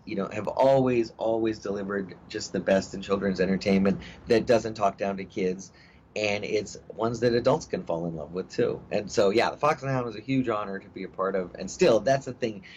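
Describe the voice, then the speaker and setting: A middle-aged actor talking in a casual interview, rambling with many fillers and restarts. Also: male voice, on the low side, a little fast, darkish tone. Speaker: actor guest. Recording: dull lo-fi audio with faint hiss.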